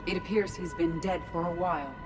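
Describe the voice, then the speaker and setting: A slightly low-pitched woman voicing a female artificial intelligence in a sci-fi game. She is composed and analytical, choosing words formally and precisely over clear background music. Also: fairly even intonation, brisk, close to an everyday voice. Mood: calm, analytical. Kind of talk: video game dialogue.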